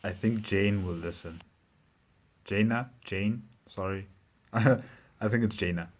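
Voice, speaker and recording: A man giving casual reaction commentary as a show host, speaking haltingly and repeating phrases. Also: on the low side.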